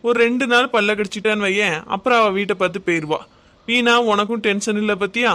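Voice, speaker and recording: A performer playing a patient young husband who gently coaxes his wife. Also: high voice, steady tone, quick pace. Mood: coaxing. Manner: gentle, coaxing, affectionate.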